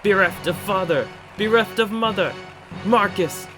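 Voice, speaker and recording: A man's voice: high voice, measured pace, music in the background. An actor playing a grave kinsman in a tragedy, declaiming archaic verse in a fantasy game fandub. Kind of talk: fandub of fantasy game, mock-Shakespearean acting.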